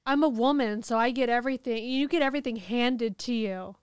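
A woman co-hosting a podcast in a very crisp, smooth voice, a little higher than most. She teases and drops short deadpan quips. The sound is dry and close. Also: a little fast, dry recording. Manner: teasing, deadpan one-liners. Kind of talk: casual chat.

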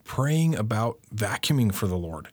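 A man's voice: fairly low pitch, sing-song, normal speed, darkish tone, husky, no room sound. A man co-presenting a religious self-help lesson in an earnest, instructive manner.

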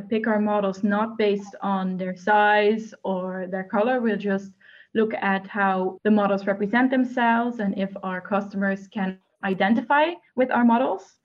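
Young woman, relaxed, muffled. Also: mid-range voice, normal speed. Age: 20s. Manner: relaxed, plain with fillers. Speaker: photographer guest. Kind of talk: casual interview chat.

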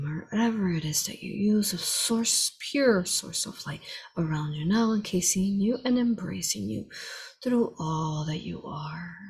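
A meditation leader speaking slowly and soothingly in short, spaced-out instructions for a guided meditation. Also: medium pitch, slight background noise.

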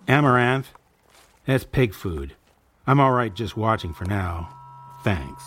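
A man's voice: on the low side, animated, takes it slowly, fairly bright tone, slight background noise. A man playing a skeptical, politely probing food journalist in an audio drama, speaking conversationally and a little hesitantly. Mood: wry, polite. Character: skeptical male food journalist reporting on a restaurant. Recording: music behind the voice.

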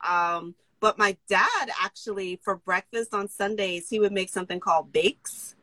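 A middle-aged woman comedian from New City, chatting as a guest with jokey storytelling and quick asides, on a phone. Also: mid-range voice, pushed and bright, no echo, low-quality recording. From New York City. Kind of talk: casual interview chat.

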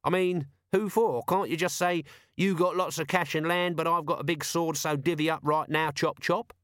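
Storybook corporal, performed by a male reader: scruffy, artless, doubting, colloquial, voice lifted above natural pitch. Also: steady tone, normal speed, fairly bright tone, no echo, clean studio sound, lightly acted. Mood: cheeky, blunt. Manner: colloquial, naive, questioning. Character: scruffy, artless, sceptical low-ranking male police corporal.

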